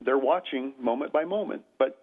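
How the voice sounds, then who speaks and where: An older man, a grandfather, talking as a guest on a radio show, with a loud voice and a halting manner full of fillers and restarts. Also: medium pitch. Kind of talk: radio talk show interview. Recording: tinny phone-like radio audio.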